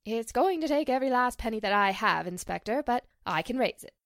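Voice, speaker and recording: A woman's voice: on the high side, animated, a little fast, a bit bright, no echo, studio quality. A woman playing a gracious, earnest actress in distress in a 1940s-style radio drama. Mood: earnest, grateful.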